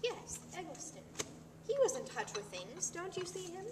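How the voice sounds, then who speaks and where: A superior, zealous wife played by a woman lectures in a high voice, insistent and condescending. It is amateur stage acting, picked up from far off in a reverberant hall with clear noise. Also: very animated, moderate pace. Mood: probing. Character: earnest, superior wife zealous about psychoanalysis. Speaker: amateur actor. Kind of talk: amateur stage-play rehearsal. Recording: distant mic, echoey hall, clearly noisy.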